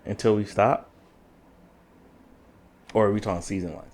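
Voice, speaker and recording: A man's voice: fairly low pitch, fairly even intonation, normal speed, darkish tone, slight background noise. A man co-hosting a casual podcast, teasing and playful with his co-host. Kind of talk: casual chat.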